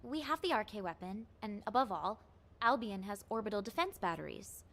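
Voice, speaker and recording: A woman's voice: mid-range voice, animated, a little fast, a bit bright. An actress playing an anxious female executive officer who talks in a nervous, stammering way.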